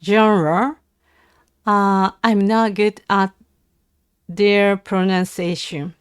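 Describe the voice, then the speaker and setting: A Japanese woman with a Japanese accent, practising language aloud on a casual livestream in a halting, hesitant way. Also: medium pitch, fairly even intonation, clear, resonant tone, no room sound, studio quality. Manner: hesitant, halting English with self-corrections. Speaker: live streamer learning English. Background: computer keyboard typing and clicking.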